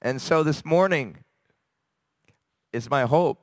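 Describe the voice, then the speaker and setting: A man from America, a church elder, preaching a sermon with a raspy, hoarse voice and earnest, searching pauses. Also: a little high, no echo. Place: church service. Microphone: handheld microphone.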